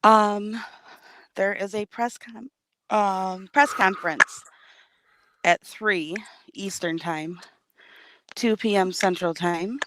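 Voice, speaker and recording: Female guest on a call, hoarse and sleep-deprived, speaking from a parked car, full of fillers. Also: medium pitch, fairly even intonation, takes it slowly, long pauses, a bit bright, a little hiss or hum, rough recording. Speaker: guest caller. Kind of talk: casual chat, giving updates. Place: in her car.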